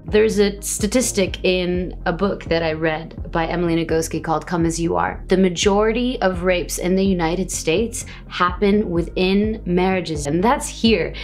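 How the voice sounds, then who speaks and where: A woman talks straight to camera in her video diary, frank and playful. Her voice sits a little low for a woman. Faint music plays underneath. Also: normal speed, few pauses, a little mellow. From Saudi Arabia.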